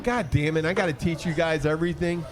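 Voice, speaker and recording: Male podcast host, voice a little on the high side; loose, rambling, teasing and ready to argue. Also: quick pace, soft background music. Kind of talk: casual banter.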